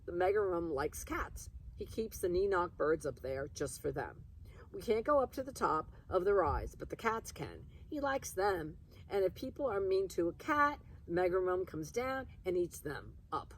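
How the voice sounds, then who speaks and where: A woman's voice: lowish voice, sing-song, moderate pace, a little hiss or hum, poor audio. A woman voicing a superior, self-important little girl from a children's storybook, scornful in manner. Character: bossy, superior know-it-all little girl.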